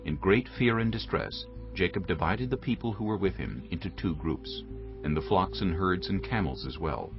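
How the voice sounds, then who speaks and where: A man's voice: low-pitched, lively intonation, moderate pace. A man narrating a dramatized scripture reading from a script. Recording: dull lo-fi audio with music behind.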